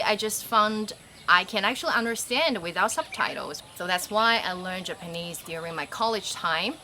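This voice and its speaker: Brief: young Chinese woman vlogging from a rural farm, relaxed and chatty, mid pitch, birds and noise heard behind. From China. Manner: chatty, relaxed, some grammar slips. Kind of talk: casual talk to camera.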